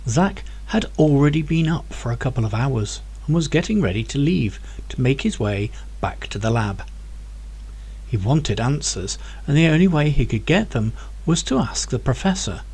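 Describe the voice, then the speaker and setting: A man reading his own novel aloud as an audiobook, with clear, expressive storytelling. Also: medium pitch. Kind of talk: audiobook narration.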